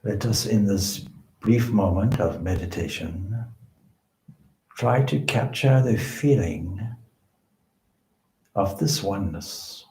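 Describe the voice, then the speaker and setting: A man leading a guided meditation as a spiritual teacher, speaking in measured short phrases with pauses between them. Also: on the low side, a little mellow, a little hiss or hum. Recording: echoey room.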